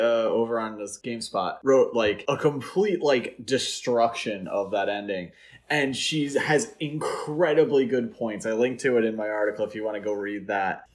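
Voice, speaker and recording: Male podcast co-host, mid-range pitch. Conversational, opinionated, explanatory, informal film-discussion delivery. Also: moderate pace. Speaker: podcast co-host and article writer.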